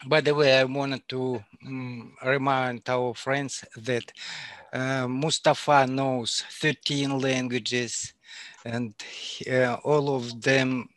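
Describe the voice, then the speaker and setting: A man hosting a casual interview, halting and searching for words. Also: mid-range voice, level tone, fairly bright tone, no echo. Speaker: host.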